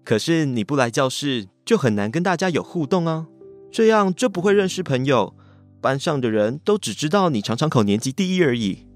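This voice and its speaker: Man acting a lively, teasing schoolboy, Taiwanese Mandarin. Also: on the high side, brisk, faint music behind, close to an everyday voice. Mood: curious, friendly.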